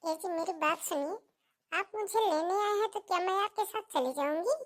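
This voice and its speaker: A woman's voice: very high-pitched, normal speed. A woman voicing a stubborn, complaining young married daughter in a cartoon family drama, with an aggrieved manner. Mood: defiant.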